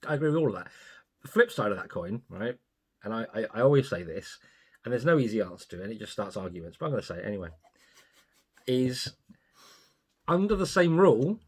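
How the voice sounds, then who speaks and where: A man's voice: mid-range voice, very animated, a little fast, pauses often, husky. A man with a mid-range voice speaking his mind in podcast banter. He is blunt and opinionated and swears without a second thought. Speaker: podcast co-host. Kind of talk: casual film review chat.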